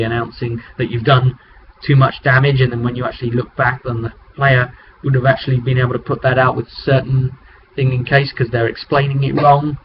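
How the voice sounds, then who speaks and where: Muffled webcam recording of a rambling man. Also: medium pitch. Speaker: game master. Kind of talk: casual vlog recap of tabletop game. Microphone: webcam microphone. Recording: muffled webcam mic with background noise.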